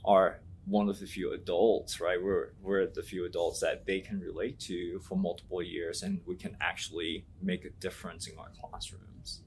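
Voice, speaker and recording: Earnest low-voiced man, native Mandarin speaker. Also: little pitch movement, moderate pace, hardly any pauses, a little hiss or hum. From Taiwan. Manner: earnest, thinking aloud with many "you know" fillers and restarts. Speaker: Latin teacher, interview guest. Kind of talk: reflective interview answers.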